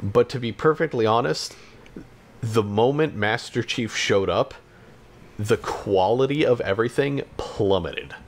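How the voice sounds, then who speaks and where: A man's voice: medium pitch, measured pace. A man chatting casually as a podcast co-host about games and films, hedging and backtracking as he goes.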